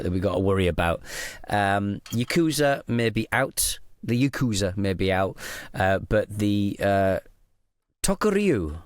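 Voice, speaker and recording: A man's voice: fairly low pitch, dry recording, studio quality. A man in his thirties with a Northern English accent, co-hosting a podcast, joking around and presenting a topic with frequent ums. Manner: hesitant, lots of ums, jokey. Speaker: podcast co-host. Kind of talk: casual chat, presenting a news topic.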